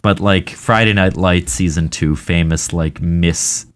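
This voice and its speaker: A male podcast co-host with a fairly low voice, chatting in loose, casual banter. His humor is wry and self-deprecating. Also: measured pace.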